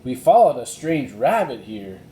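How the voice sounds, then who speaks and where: A man voicing an eager, excitable young girl adventurer in a storybook reading, with urgent exclamations. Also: medium pitch, unhurried, reverberant, slight background noise, pitched above the performer's own voice, poor audio.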